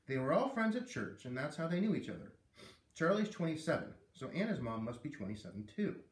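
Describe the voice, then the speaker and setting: A man's voice: fairly high pitch, sing-song, a little fast. A man voicing a hurt, defensive young schoolgirl in a storybook read-aloud, plaintive and blurting as she confides. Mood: confiding. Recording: roomy echo, distant microphone.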